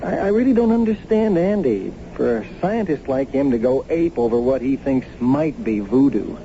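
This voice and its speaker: A male actor plays a rational, dismissive young American husband in a vintage radio drama. His tone is very dark and relaxed, yet the pitch moves freely. The broadcast sounds muffled with some hiss. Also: moderate pace, natural voice. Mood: easygoing. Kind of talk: old-time radio drama acting.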